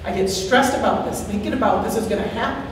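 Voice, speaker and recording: Loud-voiced woman pleading her case at a town hearing, big echoey room. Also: medium pitch, fast talker. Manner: earnest, worried, repeats herself. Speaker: resident giving public comment. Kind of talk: public testimony at a board hearing. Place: large town meeting hall, far from the mic. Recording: echoey hall, distant mic, faint hiss.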